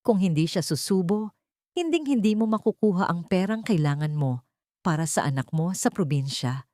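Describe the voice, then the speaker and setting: A woman's voice: fairly low pitch, animated, normal speed, mellow, no room sound, studio quality, natural voice. A woman voicing a cold, stern rich father who speaks in menacing warnings in an audio story. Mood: threatening, low warning.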